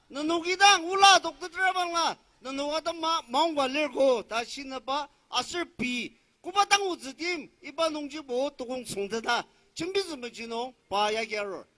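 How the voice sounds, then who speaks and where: Northeast indian guy interpreting at a rally, super high pitched, bright hard voice, short loud bursts. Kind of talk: consecutive interpreting, loud public address.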